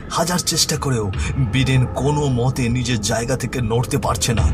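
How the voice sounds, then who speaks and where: A man narrating a scripted horror story in a dramatic storytelling style. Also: on the low side.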